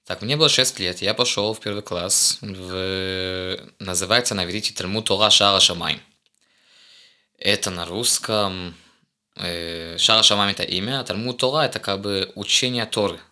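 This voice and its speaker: Low bright-voiced young man, halting. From Israel. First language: Hebrew. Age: young adult. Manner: halting, searching for words, many restarts. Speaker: guest, host's eldest son. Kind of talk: casual conversation.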